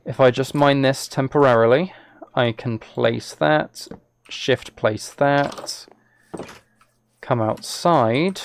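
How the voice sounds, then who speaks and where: A man with a noticeably creaky, gravelly voice at an average male pitch streams a game live. He talks casually to his viewers and thinks out loud as he plays. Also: takes it slowly. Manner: thinks aloud, chatting with viewers.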